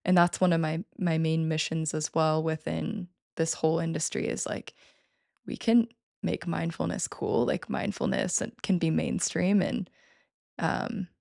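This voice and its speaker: Woman guest, gravelly and fairly monotone, chatty and keen. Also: low voice, measured pace, no room sound, studio quality. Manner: enthusiastic, many fillers and restarts. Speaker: podcast guest. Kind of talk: casual interview talk.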